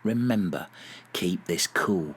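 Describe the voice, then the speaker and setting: An actor playing a self-serious, easily exasperated male robbery gang leader in a foul-mouthed audio comedy, deliberate and deadpan. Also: low voice, husky, exaggerated voice. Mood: conspiratorial.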